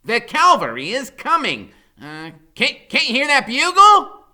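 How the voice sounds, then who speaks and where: A clever, bossy small boy in an audiobook, acted out by a man. His voice leaps very high and rings out bright as he shouts orders in excited bursts. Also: a little slow, heavily acted. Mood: confident. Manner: shouting, commanding, bursts of exclamation. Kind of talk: audiobook character voice.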